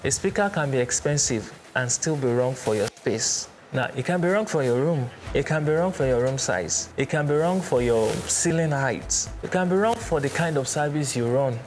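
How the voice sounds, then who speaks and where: A man presenting an explainer in a measured, didactic way, stressing his points. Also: medium pitch, animated, slight background noise. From Nigeria. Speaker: presenter, church sound instructor. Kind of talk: explainer with everyday analogies. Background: background music.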